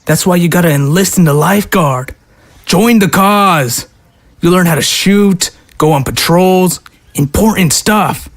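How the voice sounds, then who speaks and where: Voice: medium pitch, measured pace, close to an everyday voice. An actor voicing a hardened, blunt recruiter for a survivor militia, matter-of-fact and persuasive. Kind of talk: amateur audio drama acting.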